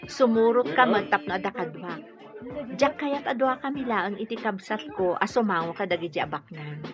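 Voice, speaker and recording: A woman's voice: mid-range voice, moderate pace, natural voice. A woman acting a friendly, eager young working woman in a radio drama. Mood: chatty. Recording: muffled radio drama with music behind. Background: music.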